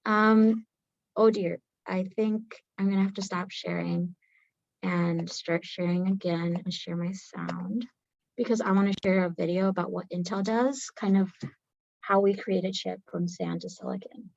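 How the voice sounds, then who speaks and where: A woman's voice: mid-range voice, steady tone, measured pace, vocal fry, darkish tone, no echo, lo-fi sound. A young woman engineer presenting on a video call in a casual, chatty way, laughing easily. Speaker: engineer presenting on a career panel. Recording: music playing under voice. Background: video soundtrack music.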